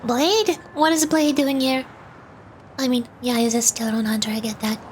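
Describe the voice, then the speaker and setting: A woman's voice: fairly high pitch, steady tone, a little slow, slight background noise. A woman streamer giving casual commentary as she plays through a game.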